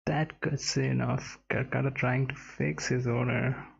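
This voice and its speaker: A woman's voice: very deep voice, steady tone, unhurried, creaky, slightly rough. A woman giving casual, off-the-cuff reactions as she plays a game. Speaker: game streamer. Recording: dull, band-limited mic. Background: soft game music.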